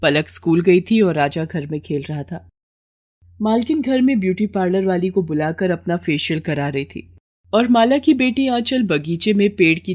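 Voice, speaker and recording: A woman's voice: lowish voice, a little mellow, muffled recording. A woman reading scripted narration for an emotional moral story.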